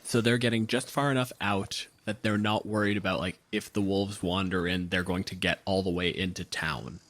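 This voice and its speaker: A man's voice: low voice, steady tone, normal speed, fairly bright tone. A man working as game master, narrating a tabletop game in a casual, improvised way.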